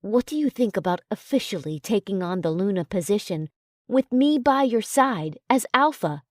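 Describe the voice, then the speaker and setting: A woman voicing a young male werewolf alpha in an audiobook, speaking softly and coaxingly. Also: medium pitch, expressive, moderate pace, dry recording, clean studio sound, close to an everyday voice. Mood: tender. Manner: soft, coaxing delivery.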